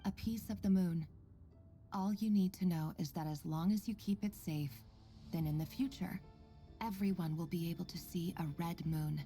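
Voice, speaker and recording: Actress as a mellow, composed female researcher. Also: lowish voice, faint music behind, natural voice. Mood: gentle, guarded. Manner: measured, articulate, formal. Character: earnest, composed female researcher, quietly secretive and caring. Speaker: voice actor in a game story quest. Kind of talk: scripted game dialogue and log narration.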